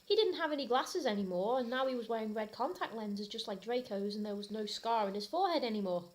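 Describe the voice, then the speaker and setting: A woman's voice: fairly high pitch, fast talker, noisy background. A British woman hosting and reading fan fiction aloud as a theatrical comic performance. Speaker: host and reader.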